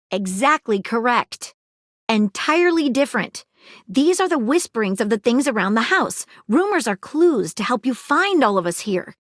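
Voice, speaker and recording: Prim, dogged detective object for a dating game, lively intonation, dry studio read. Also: female voice, fairly high pitch, no room sound. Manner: formal, precise detective phrasing. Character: earnest female detective, a household object. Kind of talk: game dialogue clips. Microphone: studio booth mic.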